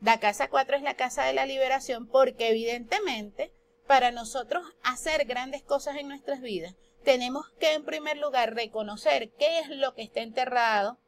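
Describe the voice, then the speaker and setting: A woman from Colombia with a Colombian Spanish accent, giving a spoken lesson on astrology that poses questions and then answers them. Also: on the high side. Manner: explanatory, asks and answers her own questions. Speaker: astrology teacher.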